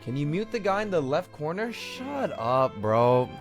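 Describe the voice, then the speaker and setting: A man's voice: on the high side, very animated, a little slow. A man streaming a game live, chatting casually in slang and repeating himself for emphasis. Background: game music.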